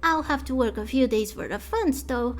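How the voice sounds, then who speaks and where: A woman's voice: high-pitched, expressive, moderate pace. A woman voicing a proud, loyal young girl from a street gang in a video game, speaking earnestly. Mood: sheepish. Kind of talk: fan voice-over of video game dialogue.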